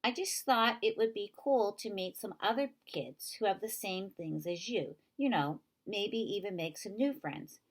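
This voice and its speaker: A woman voicing a chatty, persuasive young girl in a children's novel read aloud, eager and quick to blurt things out. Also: mid-range voice. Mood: coaxing.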